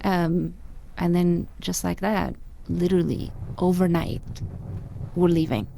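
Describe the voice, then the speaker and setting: A woman's voice: fairly low pitch, unhurried, creaky, a little hiss or hum. A woman from Afghanistan, a podcast guest telling her life story candidly and animatedly. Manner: animated, acts out voices in her stories.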